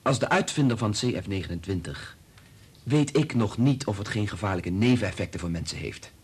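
An actor in a Dutch radio play playing a principled research doctor who is firm, emphatic and quick to anger. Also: male voice, lowish voice, expressive, darkish tone, breathy edge. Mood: firm, irritated. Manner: emphatic, argumentative, swearing.

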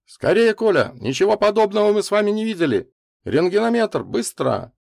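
A man voicing a brisk, fussy old professor in an audiobook story, excitable and full of hurried questions. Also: high voice, dry recording, studio quality, voice pushed up. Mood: urgent. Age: older. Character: thick-moustached stocky old professor, brisk, fussy meteorite expert.

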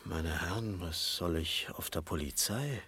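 An actor playing a grumbling, hungover man in a German radio crime drama, groggy and aching, with a husky voice. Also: low-pitched, measured pace, heavy background noise. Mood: groggy, complaining. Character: grumbling, hungover male drunk brought to police.

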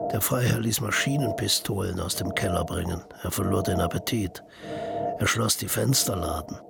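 A man with a creaky, gravelly voice reading scripted radio drama narration in staccato sentences. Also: on the low side. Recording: noticeable ambient background noise.

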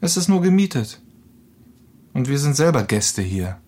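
Schroffer Mann in mittlerer Stimmlage. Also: male voice, medium pitch, sing-song, moderate pace, darkish tone. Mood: curt, refusing. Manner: curt, reproachful. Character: prickly, disapproving doctor at aid post. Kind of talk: naturalistic radio drama acting.

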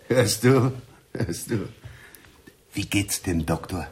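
An actor playing a talkative, deferential and superstitious village innkeeper in a radio drama, with a confiding manner. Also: male voice, fairly low pitch, expressive, extremely slow, a little mellow, husky, heavily acted. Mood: sociable, confiding. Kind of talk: 1970s German radio drama acting.

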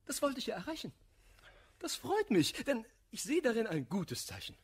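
An actor playing an embittered, hot-headed misanthrope in a classical German radio play, declaiming vehemently in rhymed verse. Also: male voice, extremely high pitch, wildly swooping pitch, moderate pace, breathy edge, exaggerated voice. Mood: scornful glee. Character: embittered, hot-headed male misanthrope railing against hypocrisy.

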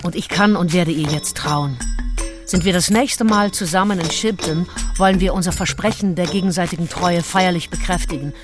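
Actress as candid, willful diarist over music. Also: female voice, fairly low pitch, normal speed, lightly acted. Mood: solemn, satisfied. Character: Anne Lister, candid, passionate, willful Englishwoman reading her diary. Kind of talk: radio play, intimate diary monologue. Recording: studio radio play with music behind.

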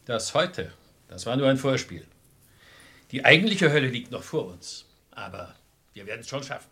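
A resolute defence lawyer in a classic radio crime drama. He speaks at a medium pitch, formally and deliberately, choosing words to probe and persuade. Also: big pitch swings, pushed and bright. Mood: urgent, steadying. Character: composed, resolute male defence lawyer in a murder trial. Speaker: actor in crime radio play.

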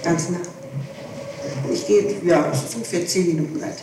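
A woman's voice: on the low side, normal speed, mellow. A woman answering questions in a recorded police interrogation, explaining herself insistently. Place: echoey room, far from the microphone. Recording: echoey distant archival tape with hiss.

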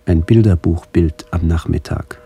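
An actor playing a reflective middle-aged man narrating a literary radio play in a measured, contemplative way. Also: low voice, gravelly, dark tone, faint music behind. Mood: pensive. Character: reflective man visiting his ageing family.

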